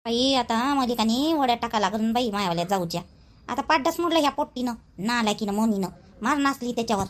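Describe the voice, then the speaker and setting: A woman voicing a chatty village housewife in a Marathi cartoon, speaking colloquial Vidarbha Marathi with expressive, drawn-out phrasing. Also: fairly high pitch, lightly acted. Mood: worried. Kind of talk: cartoon dialogue in rural gossip.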